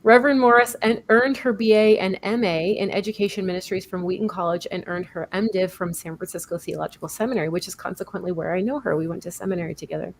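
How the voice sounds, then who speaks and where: A woman's voice: lowish voice, fast talker, hardly any pauses, a little hiss or hum. Earnest woman hosting a live talk show. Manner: earnest, explanatory, with some fillers and self-corrections. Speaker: host.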